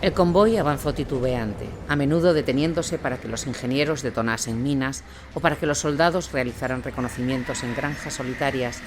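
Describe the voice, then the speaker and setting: A man delivers scripted dramatic narration of a war story. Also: medium pitch. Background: instrumental music.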